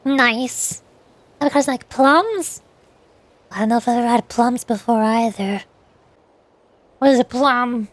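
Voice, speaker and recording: High-pitched woman chatting on a stream. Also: no room sound. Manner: hesitant, halting, repeats words. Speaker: VTuber streamer. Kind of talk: casual gaming stream chat.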